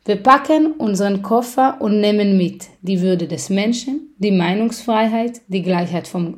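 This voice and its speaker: A woman from Israel, a theatre director, reading a personal letter aloud in an earnest, measured way with small restarts. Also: mid-range voice, dark tone.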